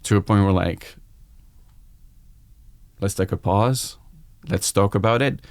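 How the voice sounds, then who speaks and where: A man's voice: on the low side, a little slow, no echo. A man in his forties with a French accent, a chef guest speaking openly and reflectively on a podcast. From France. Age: 40s. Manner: reflective, open, quoting his own thoughts aloud. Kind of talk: candid podcast conversation.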